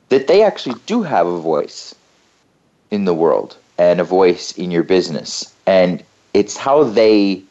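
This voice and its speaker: A man hosting a talk show, speaking in a relaxed, rambling way and often repeating phrases. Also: sits low, lo-fi sound. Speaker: host.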